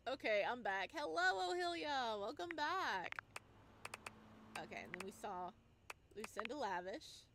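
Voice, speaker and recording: High-pitched, animated woman streamer. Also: measured pace, long pauses, background noise. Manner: expressive, exclaiming, singsong asides. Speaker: game streamer. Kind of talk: playful gameplay commentary mixed with reading on-screen text aloud.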